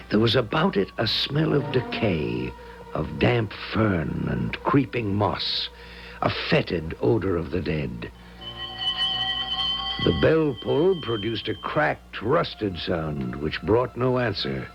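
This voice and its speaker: Slow, gravelly, genteel father. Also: lowish voice, exaggerated voice. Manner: formal, measured, theatrical. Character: genteel, cautious middle-aged father, narrating his eerie travel tale. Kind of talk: 1970s radio drama acting and narration. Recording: muffled 1970s radio broadcast.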